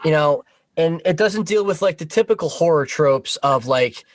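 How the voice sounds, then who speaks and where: A man's voice: a little high, animated, moderate pace, crowd noise. A man talking informally as a podcast guest, explaining things in a conversational way.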